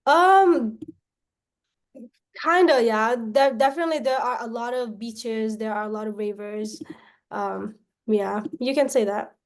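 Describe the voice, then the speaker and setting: A woman from Myanmar, a salesperson pitching her service on a call, often tagging sentences with questions and restarting them. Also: measured pace. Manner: pitch broken up by frequent tag questions and restarts.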